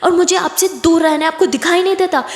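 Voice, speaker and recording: Defiant young woman in a dramatic story, played by a female narrator: high, raised, confrontational voice, echoey space. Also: brisk. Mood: angry, exasperated. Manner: raised, insistent, confrontational delivery. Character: defiant young woman fed up with being controlled. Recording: echoey room with long reverb.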